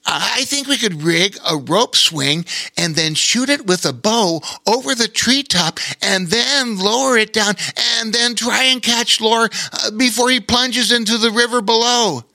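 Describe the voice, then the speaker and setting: A man's voice: extremely high pitch, a little slow, vocal fry, bright, forceful tone, no room sound, voice pushed up, exaggerated voice. A man voicing an earnest, anxious young male river otter in a children's storybook. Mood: excited, anxious.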